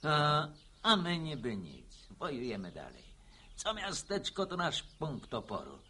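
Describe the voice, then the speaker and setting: An actor playing a cocky, jovial war veteran in a radio drama, telling colourful stories. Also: male voice, a little high, huge pitch swings, measured pace, sharp tone, breathy edge, exaggerated voice. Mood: boastful reminiscing. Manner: colourful storytelling, mimicking others, peppering French words. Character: cocky, jovial Polish war veteran villager, storytelling raconteur.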